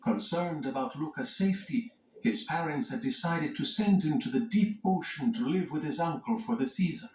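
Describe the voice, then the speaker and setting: A man reading scripted children's read-along narration in an expressive, dramatic storytelling manner. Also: on the high side, normal speed, a little hiss or hum. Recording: echoey narration over music. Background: music.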